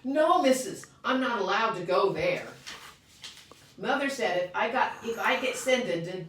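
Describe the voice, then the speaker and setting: Brief: a woman doing a hyper, dramatic little girl, squeaky and lively, storybook reading, roomy echo. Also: measured pace, a little hiss or hum. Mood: upset. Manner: shrill, shouting, childlike grammar. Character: excitable little kindergarten girl, loud and dramatic. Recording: echoey room, distant mic.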